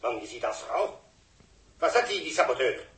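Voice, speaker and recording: Commanding, agitated father at flight control, sixties radio drama; sharp, dramatic swings, echoing old sound. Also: medium pitch, sing-song, normal speed. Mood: commanding, impatient. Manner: urgent, commanding, emotional. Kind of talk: 1960s radio drama acting. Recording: echoey old radio recording.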